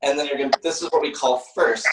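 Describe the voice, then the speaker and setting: A man gives step-by-step dance instruction in a slightly high voice. He is heard at some distance from the mic, with a strong room echo. Faint noise sits in the recording. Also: fairly even intonation, fast. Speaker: ballet dancer demonstrating positions. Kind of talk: step-by-step explanation.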